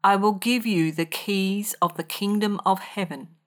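A woman's voice: mid-range voice, measured pace, a bit bright. A woman reading a gospel passage aloud as a scripture reader.

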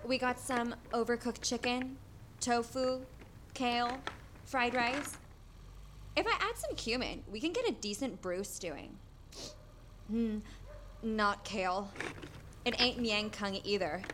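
A woman's voice: on the high side, animated, slow pace, pauses often, noisy background. A woman voicing a food-obsessed young female raccoon who talks in dreamy teen slang.

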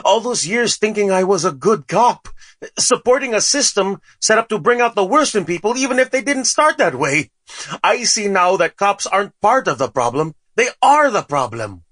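A disillusioned cop in a radio play, earnest and reflective. The voice moves a lot in pitch and is recorded very dry. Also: female voice, big pitch swings, moderate pace, fairly bright tone, no room sound. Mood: earnest, regretful. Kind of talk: radio drama acting.

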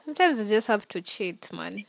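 A woman co-hosting a casual chat, relaxed and teasing, with soft laughter. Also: medium pitch, brisk, gravelly. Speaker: podcast co-host.

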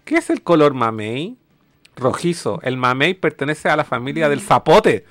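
A Chilean man co-hosts a casual reaction show in a bright, slightly high voice. His delivery is lively and full of joking, profane slang. The sound is dry and close, with music behind him. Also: moderate pace, no echo. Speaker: show co-host. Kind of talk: casual chat, reacting to a video.

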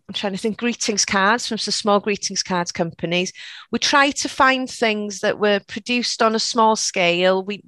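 A woman's voice: mid-range voice, a bit bright, dry recording. Chatty Welsh woman, dry close sound. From Wales. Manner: chatty, frequent restarts and "you know". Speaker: shop owner guest. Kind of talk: casual interview chat.

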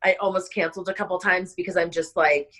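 A woman's voice: fairly low pitch, fairly even intonation, quick pace, a bit bright. A woman guest in an interview, a wedding planner, talking in an impassioned, rambling way with lots of fillers, sounding stressed and overwhelmed. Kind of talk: emotional conversational interview.

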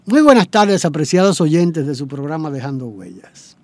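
An older man with a Dominican accent, introducing his guest on a radio show, halting often with fillers and restarts. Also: a little high, sing-song, faint music behind.

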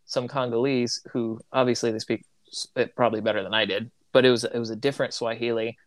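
Man raised in Tanzania, missionary; reflective, self-correcting delivery, average pitch, dry close recording. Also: flat delivery, fast talker, no room sound. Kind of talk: casual conversation.

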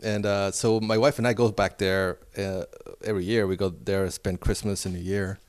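Low-voiced man from Mexico City. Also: flat delivery, moderate pace, darkish tone, smooth. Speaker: photographer, guest. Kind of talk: casual interview.

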